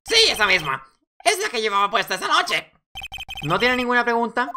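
A woman's voice: a little high, sing-song, a little fast, pushed and bright, slight background noise. A woman reading video game dialogue aloud theatrically, switching between character voices.

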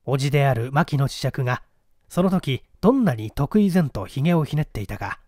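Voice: medium pitch, expressive, a little fast, darkish tone, no echo, studio quality. An audiobook narrator reading a story theatrically, addressing listeners in a formal storyteller manner.

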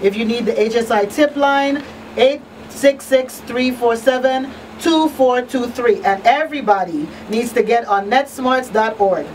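A woman's voice: a little high, a little slow, a little mellow, far from the mic, slight background noise, rough recording. Middle-aged woman host, slightly off-mic. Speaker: radio talk show host. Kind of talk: public-service talk show wrap-up. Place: small indoor radio studio. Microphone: boom-mounted studio microphone.

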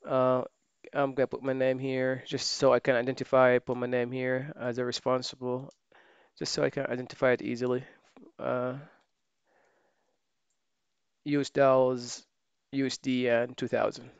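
A man giving a screen-recorded software tutorial, thinking aloud as he types and often correcting himself. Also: mid-range voice, moderate pace, smooth, no echo, highs cut off. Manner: relaxed, thinking aloud while typing, many fillers and self-corrections. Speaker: software trainer.

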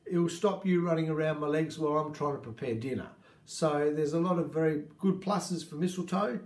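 A man's voice: fairly high pitch, normal speed. A man in his fifties with an Australian accent, talking casually to camera about native plants, rambling and gesturing, in a small indoor room. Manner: warm, rambling, gestures with hands. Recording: echoey room.

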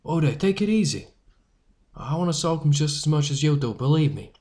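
A cocky teen newsboy leader. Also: female voice, low-pitched, animated, brisk, dark tone. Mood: reassuring. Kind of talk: amateur audio drama acting.

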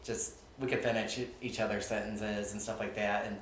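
Flat low-voiced man in echoey room. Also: fairly quick, slight background noise. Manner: relaxed, rambling, many "like"s. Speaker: restaurant co-owner. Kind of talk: casual interview answers. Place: small studio on a couch.

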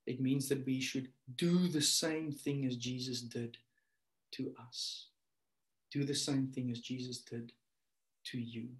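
A man in his thirties, a pastor giving a sermon over a webcam from a small room, climbing to loud emphatic peaks and dropping to a soft prayer. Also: mid-range voice. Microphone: webcam on a video call. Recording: echoey room.

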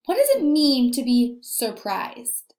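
A young woman teacher leading a read-aloud lesson for young children, animated and encouraging, asking questions and pausing for answers. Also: high-pitched. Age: young adult. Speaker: early elementary teacher. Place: indoor room, echoey. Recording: echoey room.

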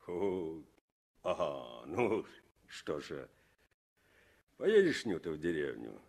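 An actor playing a gruff but tender revolutionary sailor, talking in halting short phrases in a 1960s Soviet radio play. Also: male voice, rumbling low, big pitch swings, a little mellow, full character voice. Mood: tender farewell. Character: gruff, tender revolutionary navy man saying farewell.

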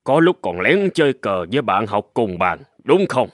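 A man playing a stern but fair middle-aged father in a cartoon, speaking in a measured, earnest, admonishing way. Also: on the low side, sing-song, no room sound, clean studio sound. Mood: calm, serious. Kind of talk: animated moral tale dubbing. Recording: music behind the voice.

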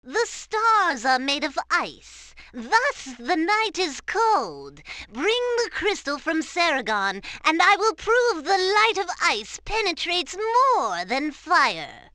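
Shrill eccentric scholar. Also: female voice, very animated, slow pace, hardly any pauses, pushed and bright, dry recording, full character voice, poor audio. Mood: excited, lecturing. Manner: grandiose lecturing.

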